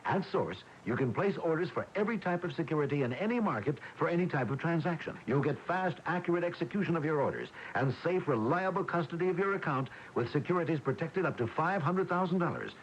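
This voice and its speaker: A man's voice: expressive, fast, hardly any pauses. A man reading a scripted radio commercial on an old AM broadcast recording. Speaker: commercial announcer. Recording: muffled old AM radio, faint rumble.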